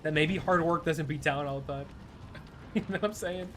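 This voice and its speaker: Laid-back young man, fairly high voice. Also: a little fast, fairly bright tone, a little hiss or hum. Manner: laid-back, chuckling, swears casually. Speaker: streamer. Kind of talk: casual live gameplay commentary.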